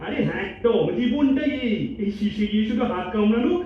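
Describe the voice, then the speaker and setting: A man's voice: high voice, expressive, quick pace. A man playing an impulsive, chatty young boyfriend in a staged audio drama, insistent and dropping English words into his talk. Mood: eager, persuasive. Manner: eager, insistent, repeats himself, mixes in English. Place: multipurpose hall stage. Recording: distant, echoey hall recording, muffled, faint noise.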